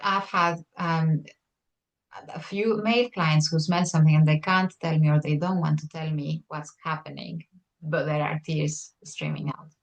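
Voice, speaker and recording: Woman, low pitch, reflective, hesitant fillers, interview answers. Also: steady tone, normal speed, creaky, low-quality recording. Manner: reflective, many fillers and restarts. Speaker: perfumer and psychotherapist.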